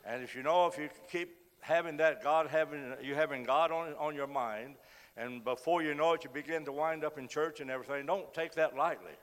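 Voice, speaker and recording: A man with a cold, hoarse as he preaches a sermon, reads scripture and says frequent amens. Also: fairly high pitch, brisk, fairly bright tone. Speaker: guest preacher. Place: church sanctuary. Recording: long reverb of a church hall.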